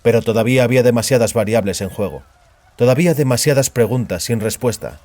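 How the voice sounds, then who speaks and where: A man narrating a dramatized story as scripted fiction, in a grave, deliberate storytelling manner. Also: medium pitch, animated, a little mellow, dry recording. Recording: music behind the voice.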